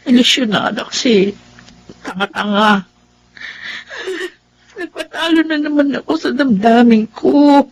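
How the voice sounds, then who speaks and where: Young Filipino housemaid, very high voice, love-struck and impulsive, radio drama. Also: female voice, slight background noise, full character voice, rough recording. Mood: heartbroken. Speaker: voice actress.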